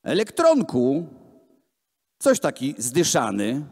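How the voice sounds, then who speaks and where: A practical, encouraging grandmother from a storybook , as a man reads her aloud. She speaks in a matter-of-fact way at a slightly raised, lively pitch. The hall adds long reverb. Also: takes it slowly, slightly rough, exaggerated voice. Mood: fond, curious. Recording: echoey hall, amplified voice filmed from the room.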